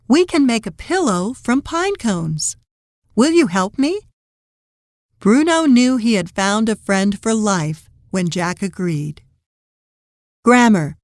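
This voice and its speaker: A woman narrating a scripted children's lesson and story read-aloud, deliberately and instructionally. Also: mid-range voice, no room sound, studio quality.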